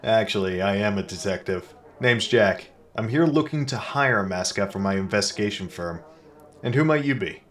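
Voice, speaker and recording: An actor playing a self-important, earnest but dim hard-boiled detective in a comedic audio drama, with melodramatic noir delivery and music behind him. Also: male voice, on the low side, expressive, normal speed, close to an everyday voice. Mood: confident. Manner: melodramatic first-person noir narration. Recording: music bed under the voice.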